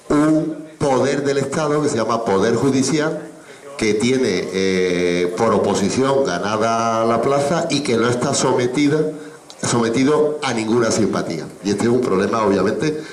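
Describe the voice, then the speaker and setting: A man's voice: fairly low pitch, roomy sound, rough recording. A man speaking at a public assembly in a formal, reasoned way, laying out his points in order.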